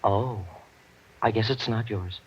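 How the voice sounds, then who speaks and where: A man's voice: fairly low pitch, slow pace, slightly rough, heavily acted. A man playing a fussy, apologetic laundry clerk in an old radio drama, dithering, stammering and repeating himself over-politely. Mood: flustered, apologetic. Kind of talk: old-time radio comedy-relief acting. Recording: muffled, noisy old radio recording.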